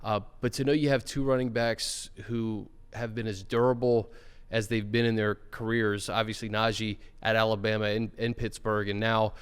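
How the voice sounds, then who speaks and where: A man hosting a sports talk show, moving from an upbeat welcome into relaxed, conversational football analysis. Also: fairly low pitch, moderate pace, smooth, in a large hall. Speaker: podcast host.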